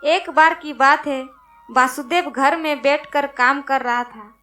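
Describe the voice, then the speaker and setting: A woman's voice: sits high, fairly even intonation, takes it slowly, sharp tone, soft background music. A woman reading a scripted children's moral story as its narrator.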